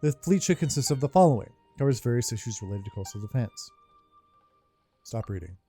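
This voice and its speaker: A man's voice: medium pitch, expressive, brisk, dark tone, husky. A man casually commenting on a game playthrough and reading its text aloud in an offhand way. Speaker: gaming video host. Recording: faint game music behind voice. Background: game music.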